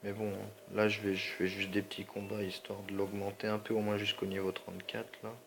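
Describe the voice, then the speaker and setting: A man with a low, gravelly voice gives casual gameplay commentary while nursing a slightly sore throat and a stuffy nose. He rambles in slangy asides and keeps apologizing to his viewers, over a faint ambient hum. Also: completely flat delivery, fairly quick. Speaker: gaming channel host.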